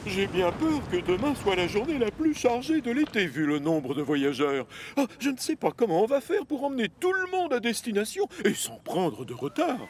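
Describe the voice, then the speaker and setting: A man's voice: high-pitched, very animated, moderate pace, few pauses, a little hiss or hum. A man voicing a pompous, bossy railway controller with a formal, authoritative manner in a children's cartoon dub. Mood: worried, interested. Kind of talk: animated children's series dub.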